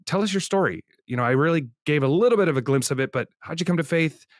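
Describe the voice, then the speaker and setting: A man's voice: fairly high pitch, expressive, fast, no room sound, studio quality. A man from the Netherlands hosting a podcast interview, chatty, enthusiastic and self-deprecating. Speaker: podcast host. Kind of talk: casual interview chat.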